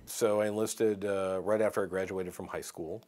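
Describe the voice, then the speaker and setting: A man, an Army veteran and city communications coordinator, speaking in a measured, recorded interview with many pauses. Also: deep voice, fairly even intonation, a little mellow. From United States. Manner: measured, pausing with frequent "uh".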